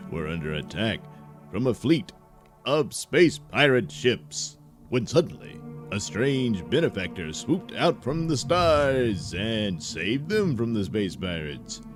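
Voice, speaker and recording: A man's voice: on the low side, sing-song, takes it slowly. A man narrating a tongue-in-cheek serial as a grandiose, theatrical announcer. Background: music.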